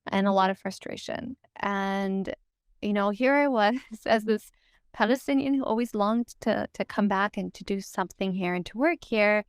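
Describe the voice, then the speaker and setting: A woman's voice: medium pitch, normal speed, clean tone, no room sound, clean studio sound. A woman with an American accent telling her story conversationally in an interview, reflective and informal, often saying "like" and "you know". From United States. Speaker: podcast guest and co-founder.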